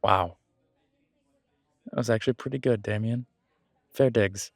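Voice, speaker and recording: A man playing a wry, self-deprecating alpha werewolf in an audio drama, with a sardonic, measured delivery. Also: fairly low pitch, vocal fry, no echo, clean studio sound. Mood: wry, amused. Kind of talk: scripted audio roleplay drama.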